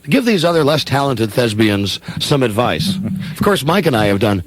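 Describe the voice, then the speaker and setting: Lively male radio host with swooping pitch. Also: moderate pace. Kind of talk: casual live radio banter.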